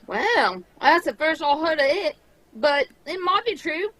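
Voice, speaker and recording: A woman's voice: high-pitched, very animated, measured pace, a bit bright, no echo, exaggerated voice, poor audio. A woman voicing a friendly, gossipy male tavern keeper with a Cockney accent in a radio drama. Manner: folksy, gossipy, chummy. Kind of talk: old-time radio drama script read live.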